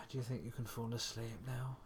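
A man performing an intimate audio roleplay in a soft, breathy voice that often drops to a whisper, with murmurs, sighs and reassurances. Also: medium pitch, flat delivery, measured pace, heavy background noise.

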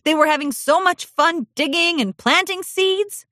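A woman's voice: very high-pitched, a little slow, bright, forceful tone, no echo, clean studio sound, voice pushed up, full character voice. A woman reading aloud voices a young, lonely filly pony who talks in an earnest, pleading, childlike way. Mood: aggrieved.

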